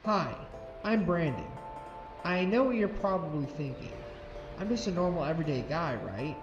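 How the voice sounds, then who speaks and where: A man's voice: on the high side, lively intonation, moderate pace, muffled recording, natural voice. A man voicing an ordinary teenage guy introducing his own story in a chatty, confiding way. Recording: echoey room with music bed.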